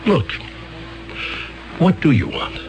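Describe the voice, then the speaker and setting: An actor playing an earnest, flustered defense attorney in a 1970s radio drama. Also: male voice, slow, a little mellow, faint music behind, natural voice, rough recording. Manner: earnest, stammering, pleading.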